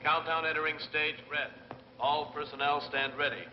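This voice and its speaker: A man's voice: fairly high pitch, steady tone, normal speed, a little hiss or hum, close to an everyday voice. Actor as a space project man, long reverb. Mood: matter-of-fact. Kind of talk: dubbed film acting. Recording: reverberant, echoey, dull old film soundtrack.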